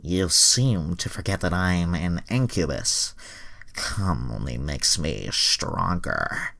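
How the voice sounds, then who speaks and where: Man playing a lewd demon, gravelly and slow, gloating with swooping emphasis. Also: low-pitched, animated, pushed and bright, full character voice.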